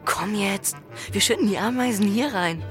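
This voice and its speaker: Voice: medium pitch, normal speed, a bit bright, soft background music, lightly acted. An actor playing a cocky, bossy thirteen-year-old boy, a scheming prankster in a radio play. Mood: excited, conspiratorial. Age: child figure of thirteen. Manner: bossy, goading.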